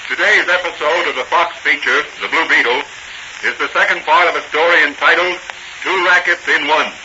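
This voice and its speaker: A man's voice: on the high side, brisk, background noise. A man narrating a radio serial as its announcer in a formal, dramatic 1940s style. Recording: muffled vintage 1940 radio recording. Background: music.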